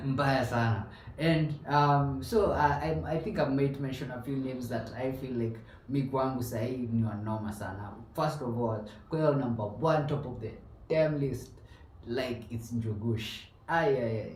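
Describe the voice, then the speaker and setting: A man's voice: medium pitch, a little mellow, distant mic, a little hiss or hum. A young man with a Kenyan accent hosting a podcast in casual chat, switching between English and Swahili slang with many restarts. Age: young adult. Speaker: podcast host. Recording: roomy, off-mic with faint ambience.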